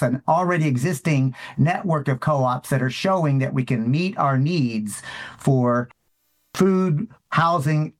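Brief: activist man in his sixties, mid pitch, proud and emphatic. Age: 60s. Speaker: activist guest. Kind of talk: interview answers.

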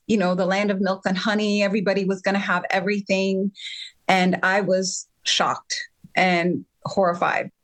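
Woman from Ethiopia telling her story. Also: on the low side. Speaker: interview guest. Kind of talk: personal storytelling in an interview.

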